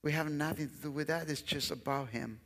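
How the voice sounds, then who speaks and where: A man's voice: on the high side, a little fast, a bit bright. A middle-aged man from Guatemala with a Guatemalan Spanish accent, a guest pastor preaching an earnest sermon on a large church stage, often repeating his phrases for emphasis. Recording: long church hall reverb.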